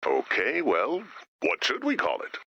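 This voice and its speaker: A man voicing a reassuring, mildly officious supervisor in an animated video game, measured and encouraging, through a radio filter. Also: medium pitch, husky, no echo, highs cut off, full character voice. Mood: hesitant, practical.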